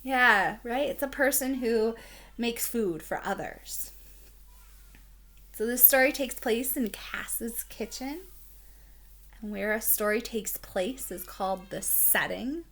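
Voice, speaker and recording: Woman, schoolteacher, unhurried, bright voice, simple explanations, questions to young children, read-aloud lesson. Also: fairly high pitch. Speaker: elementary school teacher.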